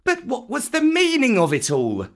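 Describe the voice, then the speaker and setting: A bold, innocent jungle boy from a storybook, put on by a narrator. The voice is lifted high and moves in lively swoops. He sounds light and dismissive. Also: normal speed. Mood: puzzled, scornful.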